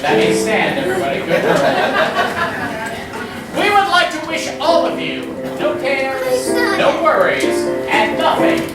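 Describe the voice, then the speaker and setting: A woman's voice: high voice, wildly swooping pitch, takes it slowly, a loud crowd. A woman hosting a live stage show, presenting like a game-show announcer to an audience. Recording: very echoey hall from far off, music behind.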